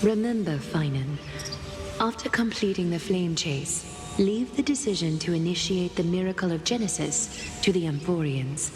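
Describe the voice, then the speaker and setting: A woman voicing a proud, commanding leader in a video game, poised and measured. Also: fairly low pitch, lightly acted. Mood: solemn. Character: composed, proud, commanding female leader and teacher. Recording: long hall reverb, music underneath. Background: game music.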